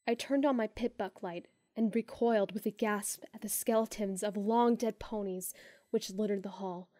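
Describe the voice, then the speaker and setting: A woman narrating a first-person story in a measured way, sinking to hushed tones in tense moments. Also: mid-range voice, fairly even intonation, dry recording, studio quality. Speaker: audiobook narrator.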